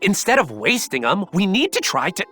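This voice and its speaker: A woman's voice: mid-range voice, very animated, normal speed, fairly bright tone, breathy edge. A woman playing a cynical, sly scientist in an anime video game, speaking in a wry, condescending way. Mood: bored, wry. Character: cynical female mad scientist, sly and weary. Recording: music behind the voice.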